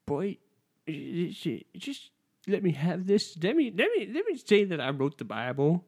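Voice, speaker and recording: A man doing improvised comedy, making boastful deadpan claims in a casual, animated way. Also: sits high, unhurried, husky.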